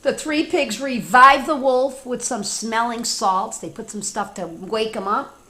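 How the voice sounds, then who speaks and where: A woman with an American accent reading a story aloud to children, animated and informal, with chatty asides. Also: on the high side, measured pace. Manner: animated, informal, talks directly to young children. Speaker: teacher reading stories to children.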